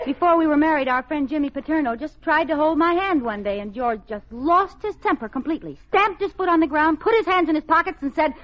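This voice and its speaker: High-voiced scatterbrained radio housewife played by an actress. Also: female voice, a little fast, hardly any pauses, lightly acted. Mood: worried. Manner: theatrical comic timing, stammers when flustered. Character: scatterbrained wisecracking suburban housewife, eager then flustered. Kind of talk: 1950s radio sitcom acting before a live audience. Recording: muffled old radio recording with studio audience.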